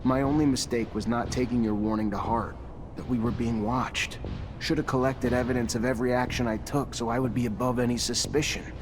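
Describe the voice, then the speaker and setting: A man's voice: medium pitch, steady tone, fairly quick, noisy background, natural voice. A man playing an earnest, principled lieutenant in a video game, formal and defensive in his speech. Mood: earnest, contrite. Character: earnest, principled young male soldier lieutenant. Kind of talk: dramatic video game dialogue.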